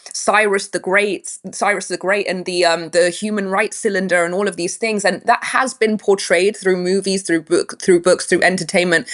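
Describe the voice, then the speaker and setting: A woman in her thirties with a London accent, a guest speaking earnestly and in a measured way in an interview, restarting her phrases now and then. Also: fairly low pitch. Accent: London English. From England. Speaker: guest activist. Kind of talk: interview conversation.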